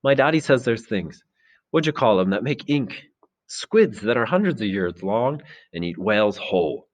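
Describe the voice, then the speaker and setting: A man's voice: fairly low pitch, expressive, voice pushed up. A man voicing an earnest, uncertain young schoolboy in a storybook, rambling and correcting himself as he goes. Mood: excited, awed. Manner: rambling, halting, self-correcting.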